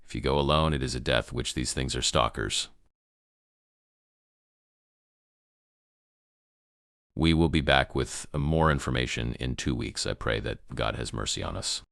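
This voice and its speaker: A man playing a grave emergency news broadcaster in an amateur apocalypse broadcast, gravelly, solemn and halting in his delivery. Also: a bass voice, a bit bright, dry recording, clean studio sound, exaggerated voice. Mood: grave warning. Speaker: news anchor.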